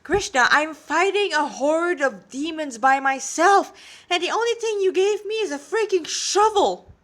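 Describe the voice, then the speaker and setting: A woman's voice: extremely high pitch, expressive, measured pace, a bit bright, pitched above the performer's own voice, full character voice. A woman voicing a sarcastic, exasperated young male hero who grumbles informally in a storybook reading. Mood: indignant.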